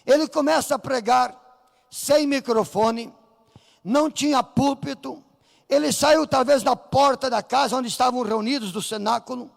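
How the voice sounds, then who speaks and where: A Brazilian pastor preaching with fervor, his voice strikingly high and gravelly, climbing to shouts. The sound carries a long echo from a church hall. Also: moderate pace. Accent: Brazilian Portuguese. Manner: fervent preaching, rising to shouts, acting out voices in the story. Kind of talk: Pentecostal sermon. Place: church sanctuary.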